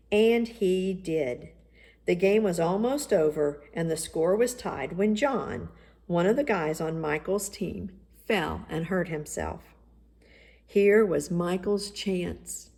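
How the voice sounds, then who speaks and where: A woman narrates a children's story with expressive, deliberate phrasing. Her pitch sits a little low and her pace is slow. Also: vocal fry. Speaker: storybook reader.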